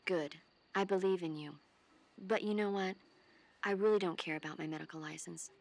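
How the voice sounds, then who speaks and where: An actress playing a caring female military medic in a video game, earnest, playful and gently scolding. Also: on the low side, moderate pace, vocal fry. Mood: earnest devotion.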